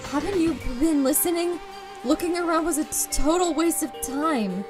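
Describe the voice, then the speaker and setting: A woman voicing a blunt, upset teenage schoolgirl with halting, exasperated delivery, in an anime game fan dub. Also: high voice, music in the background, lightly acted.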